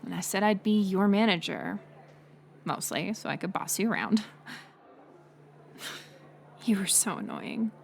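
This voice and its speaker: A woman playing a bitter, hurt college-age former friend turned bully, confessing in a halting, intimate audio drama monologue. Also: mid-range voice, a little hiss or hum. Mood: wistful, resentful. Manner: halting confessional delivery, drifting into breathy near-whispers.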